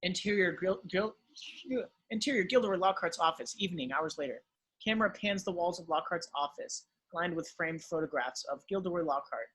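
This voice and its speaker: A man's voice: high voice, fairly quick, fairly bright tone, slightly rough, low-quality recording. A man narrating screenplay directions at an amateur table read.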